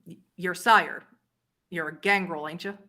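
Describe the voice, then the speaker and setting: A woman's voice: on the low side, steady tone, unhurried, sharp tone. A woman voicing a gaunt, street-wise old vampire woman who talks in a blunt, folksy, needling way. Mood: gruff, knowing.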